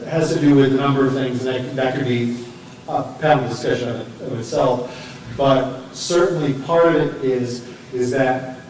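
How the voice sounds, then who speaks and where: A man speaking off the cuff in a panel discussion, haltingly, often searching for his words. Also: medium pitch, steady tone, darkish tone. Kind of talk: panel discussion, unscripted talk. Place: echoey room, recorded at a distance. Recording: very echoey room, distant mic, faint noise.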